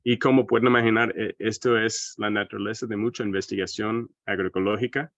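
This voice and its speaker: A man's voice: on the low side, steady tone, fairly quick, a bit bright, no echo. American man lecturing in Spanish over a call. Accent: American English. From United States. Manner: thoughtful, often tags phrases with a questioning aside. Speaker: seminar speaker. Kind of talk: online lecture presentation. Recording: dry, dull lo-fi call audio.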